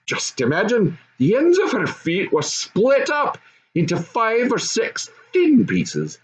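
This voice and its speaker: A man's voice: high voice, sing-song, slow, faint music behind, voice pushed up, full character voice, low-quality recording. Man playing a bossy, swooping goblin father. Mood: knowing. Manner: emphatic, knowing, commanding. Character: gloating, bossy old goblin father and husband.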